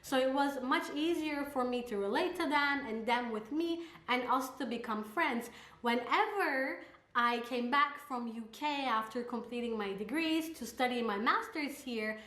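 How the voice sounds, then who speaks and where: A woman's voice: high-pitched, moderate pace, a bit bright. Upbeat young woman vlogging, roomy echo. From Turkey. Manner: sarcastic, chatty, upbeat. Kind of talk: casual talk to camera.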